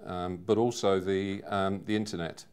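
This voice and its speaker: A man in his fifties giving a personal testimonial in a measured, earnest way. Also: sits low, flat delivery, a little mellow, smooth. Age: 50s. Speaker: seminar attendee giving a testimonial.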